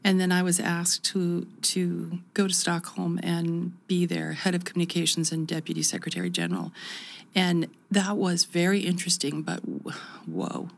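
A woman in her fifties from the United States talks through her experiences in a reflective, animated way, often backing up to restart a thought. Her voice sits a little low and carries a gravelly edge. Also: moderate pace. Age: 50s. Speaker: interview guest. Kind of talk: conversational interview.

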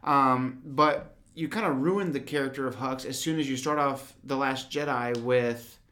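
A man co-hosting a casual chat, enthusiastic and a little hoarse and raspy from yelling. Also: medium pitch. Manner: enthusiastic, does quick impressions of characters. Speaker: podcast co-host. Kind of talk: casual chat ranking favorite voices.

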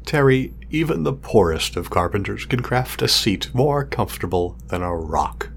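A man playing a proud, exacting craftsman of android companions in an audio drama, speaking in a formal, measured, condescending way. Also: lowish voice, animated. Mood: smug, condescending. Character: pompous male craftsman of artificial companion drones, exacting, proud. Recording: clear background noise, sci-fi lab ambience.

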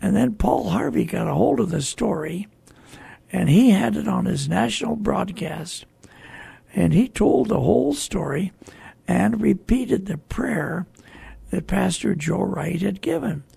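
A talk show host with a very raspy, gravelly voice, speaking off the cuff in a halting, deliberate, earnest way. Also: medium pitch, expressive, mellow, dry recording. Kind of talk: unscripted talk show commentary.

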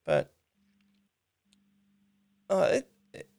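A woman, hosting a casual interview in an informal, thoughtful way with halting phrasing. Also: warm tone, no room sound. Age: mid 30s. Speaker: podcast host, interviewer.

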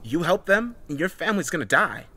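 A man voicing a fierce, bossy young woman who argues and shouts in a dramatic story. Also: mid-range voice, steady tone, a little fast, slight background noise, pitched above the performer's own voice, natural voice. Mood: threatening. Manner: shouting, growling, argumentative.

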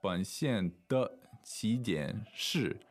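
A man's voice: on the low side, expressive, drawn-out words, faint music behind. A man co-hosting a language lesson in a conversational style, asking questions and recapping in simple steps.

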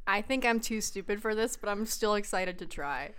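A young woman chatting as a podcast guest, bubbly and excitable. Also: mid-range voice, normal speed, clean tone. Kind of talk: casual podcast chat.

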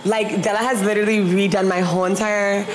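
A woman from the United States hosting a podcast in a casual, animated chat, often laughing and saying "literally.". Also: medium pitch, fast talker, noisy background. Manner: bubbly, animated, laughs a lot, says "literally". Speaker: podcast host.

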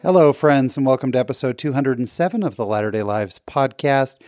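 A man's voice: medium pitch, brisk, a little mellow, soft background music. A man hosting a podcast interview, upbeat and friendly, with frequent fillers. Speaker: podcast host.

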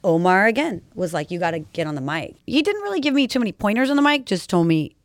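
A woman's voice: medium pitch, very animated, fast talker, no room sound, studio quality. A woman from Los Angeles, a DJ guest on a podcast, chatting in a casual, blunt way, swearing freely and saying "like" often. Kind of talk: casual interview chat.

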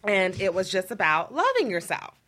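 A woman's voice: medium pitch, wildly swooping pitch, moderate pace, fairly bright tone. A woman co-hosting a talk show who speaks in a casual, reflective way and often says "you know.".

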